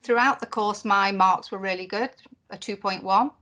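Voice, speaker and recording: A woman voicing a deaf presenter's signed conference talk into spoken English, in short phrases with pauses and fillers. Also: mid-range voice, fairly even intonation, bright, forceful tone. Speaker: sign language interpreter voicing a deaf presenter. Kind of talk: live voiced interpretation of a conference talk.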